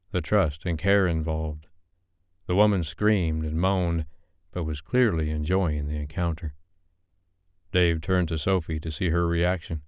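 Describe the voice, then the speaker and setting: A man narrating an audiobook in a steady, measured reading style. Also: sits low, smooth, dry recording, clean studio sound.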